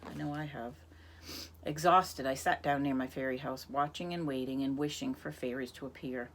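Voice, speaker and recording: Low voiced lady reading a kids story aloud, expressive, talks to the kids, little bit of hiss. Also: moderate pace. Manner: expressive, speaks to young listeners. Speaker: reader. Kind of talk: reading a picture book aloud.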